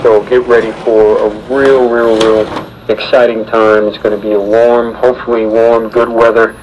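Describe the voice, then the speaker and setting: A man promoting an event on the radio in halting, repetitive phrases, heard through a small transistor radio. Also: lowish voice, little pitch movement, a little mellow, a little hiss or hum, rough recording.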